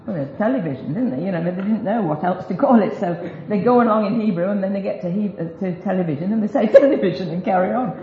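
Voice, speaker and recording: A woman teaches a group in a relaxed, chatty way, tossing in self-deprecating jokes as she goes. The recording is roomy and echoey, with a dull, lo-fi sound and a faint hiss underneath. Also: mid-range voice, fast, few pauses. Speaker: speaker teaching a group about biblical Hebrew. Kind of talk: informal Bible teaching talk.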